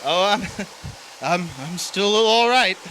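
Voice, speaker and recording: A man plays a devoted, weary lover in an old-fashioned stage melodrama. His voice sits a bit high and is earnest and coaxing. Clear noise runs through the live recording. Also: lively intonation, takes it slowly, pushed and bright, exaggerated voice, low-quality recording. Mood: concerned. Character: Frank, devoted, world-weary man in doomed love.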